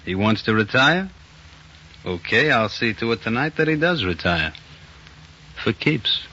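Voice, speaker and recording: An actor playing a smooth, ruthless gangster in a 1940s radio crime drama, scheming with his lover. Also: mid-range voice, lively intonation, a little slow, bright, forceful tone. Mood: sly, cold. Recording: noisy, muffled old 1940s radio recording.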